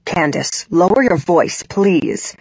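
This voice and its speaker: A woman voicing a stern, controlling middle-aged father in an audiobook, with a husky voice. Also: deep voice, no room sound, dull audio. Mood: stern, disapproving. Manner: stern, measured, disapproving.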